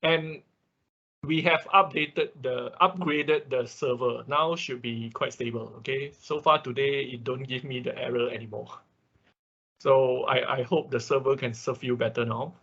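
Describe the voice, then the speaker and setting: A man's voice: mid-range voice, steady tone, unhurried, a bit bright, slightly rough, lo-fi sound. A man working as an instructor in an online class, answering questions in a hesitant way, often checking with short confirmations. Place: online class over a computer call.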